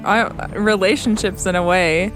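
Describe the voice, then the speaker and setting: A woman's voice: medium pitch, fairly even intonation, moderate pace, music in the background, poor audio. A woman chatting casually as a guest in a radio interview. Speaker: guest audio engineer and sound designer.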